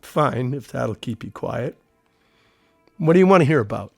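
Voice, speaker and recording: A nervous ex-soldier turned painter in an audio play. His words are terse and guarded, yet the pitch moves around a lot. The recording is close and dry. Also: expressive, normal speed, creaky, dry recording, faint music behind. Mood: guarded, curt. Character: nervous, guarded male army veteran working as a painter. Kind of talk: audio drama dialogue.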